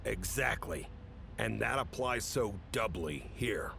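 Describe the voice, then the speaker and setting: A man playing an authoritative, businesslike chief who briefs agents in a measured way in an audio drama. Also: lively intonation, gravelly, full character voice. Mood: firm. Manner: authoritative, measured, matter-of-fact. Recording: rumbly background noise.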